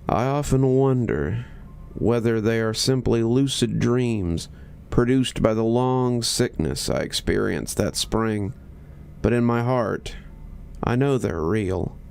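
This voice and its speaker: A man reading a scripted horror story in measured, deliberate phrases with pauses. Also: lowish voice, vocal fry, a little mellow, clean tone.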